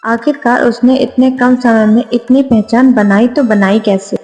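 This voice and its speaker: A woman's voice: on the high side, fairly even intonation, a little slow, darkish tone. A woman narrating a scripted moral story for a cartoon. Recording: long reverb, music behind.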